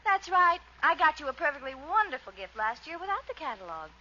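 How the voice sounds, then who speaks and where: A woman's voice: high-pitched, sing-song, brisk, pushed and bright, full character voice. An actress playing a sweet, spirited young girlfriend, coy and earnest, in a 1940s radio comedy style. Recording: muffled, faintly hissy old radio recording.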